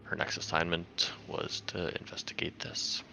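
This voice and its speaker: A man reading character notes aloud haltingly as a tabletop roleplaying player. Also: low-pitched, completely flat delivery, vocal fry. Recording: faint background noise.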